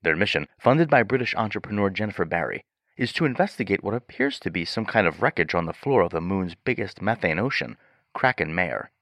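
An actor playing a sober news reporter, delivering a measured, scripted report on a space mission. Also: male voice, low voice, expressive, a bit bright, no room sound, clean studio sound. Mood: grave, measured.

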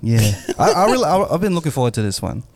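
A man's voice: on the high side, big pitch swings, very fast, crowd noise. Male podcast co-host, jokey, loose, laughs a lot. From Australia. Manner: jokey, punning, laughs often. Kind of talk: casual podcast banter.

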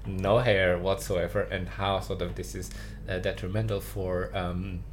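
Guy with a lowish voice chatting on a podcast, lots of ums and little laughs, faint hiss behind. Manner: relaxed, chuckling, halting with fillers. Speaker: podcast co-host. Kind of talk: casual chat. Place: studio.

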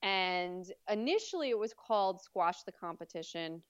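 A woman with a deep voice talks in a relaxed, chatty way, with easy fillers and a light laugh now and then. Her tone is smooth and resonant, and she is recorded dry and close. The sound is a little dull, as on a modest podcast setup. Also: normal speed, no room sound. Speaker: PR agency owner, guest. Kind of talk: casual interview.

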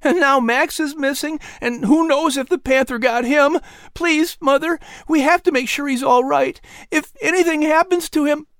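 A man's voice: very high-pitched, moderate pace, a bit bright, dry recording, clean studio sound, voice pushed up. A man voicing a hurt, tearful young boy in a storybook. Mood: pleading. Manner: pleading, tearful.

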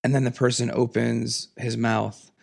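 Man, podcast host, hoarse from a cold, heavy vocal fry, earnest and emphatic. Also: flat delivery, unhurried, a little mellow. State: raspy from a cold. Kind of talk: solo podcast monologue. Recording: lower audio quality.

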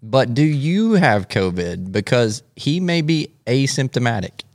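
A man's voice: mid-range voice, very animated, measured pace, no echo, clean studio sound. A man hosting a podcast with casual, comic banter. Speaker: podcast host.

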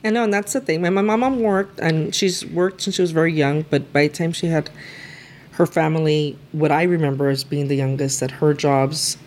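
A woman with a notably low voice speaks earnestly in an interview. She grew up in East Los Angeles with Spanish as her first language. A faint noise sits behind her. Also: steady tone, moderate pace, a little mellow. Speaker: lawyer guest. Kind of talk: conversational interview.

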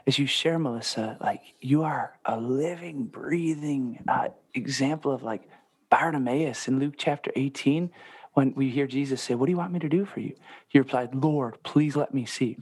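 A man, a priest co-hosting a podcast, encouraging his guest and quoting scripture with slow emphasis. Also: mid-range voice, a little mellow, husky, no echo. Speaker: priest, co-host. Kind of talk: casual podcast chat.

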